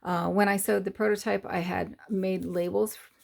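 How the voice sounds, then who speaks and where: A middle-aged woman, hoarse from losing her voice, hosts a casual sewing tutorial and explains her steps in a rambling, hesitant way with many fillers. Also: medium pitch, steady tone, moderate pace, darkish tone. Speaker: sewing channel host.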